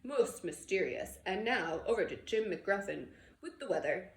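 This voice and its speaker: Lady doing a tv news guy for a storybook, projected, measured, upbeat, roomy echo. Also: medium pitch, expressive. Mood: brisk, amused. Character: upbeat male TV evening newsreader. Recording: roomy echo with faint background noise.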